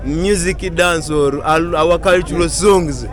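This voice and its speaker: A man's voice: a little high, fairly even intonation, takes it slowly. A man from Uganda answering a street interview, speaking hesitantly with many fillers. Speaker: interviewee, faith group member. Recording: outdoors with loud music and crowd.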